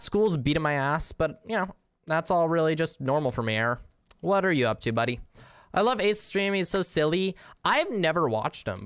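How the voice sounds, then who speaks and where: A young man livestreaming a game who talks easily with his chat, using slang and the odd swear. His voice sounds smooth and clear, at a middle pitch. It is recorded on a close mic in a dry space. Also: fairly bright tone, no echo. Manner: chatty, slangy, swears casually. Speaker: streamer.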